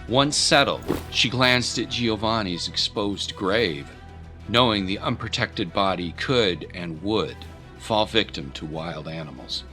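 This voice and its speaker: A male narrator tells a dramatic historical story with grave theatricality. His voice is fairly low yet forceful and bright, with faint music beneath. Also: lively intonation, slow.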